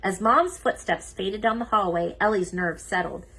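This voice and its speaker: Female children's read-aloud narrator: emphatic, animated delivery, forceful brightness, husky edge. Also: mid-range voice, normal speed. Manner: expressive, emphatic. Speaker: children's book reader.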